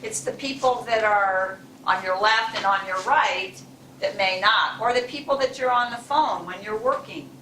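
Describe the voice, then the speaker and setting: Bright-voiced woman chairing a club meeting. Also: mid-range voice, normal speed, far from the mic, slight background noise. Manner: chatty, encouraging, with restarts. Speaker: club president. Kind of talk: welcoming remarks at a club meeting. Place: chilly meeting room. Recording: echoey room, mic from afar.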